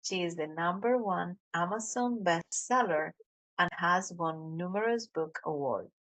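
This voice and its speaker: Slow Colombian-accented woman host. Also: lowish voice, poor audio. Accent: Colombian Spanish. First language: Spanish. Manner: warm, halting with many "um"s. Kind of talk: interview hosting.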